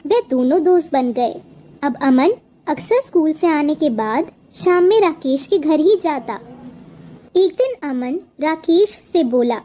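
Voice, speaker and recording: A woman reads a scripted story as narrator. Her voice is very high and mellow, and she takes her time over each phrase. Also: lively intonation, takes it slowly.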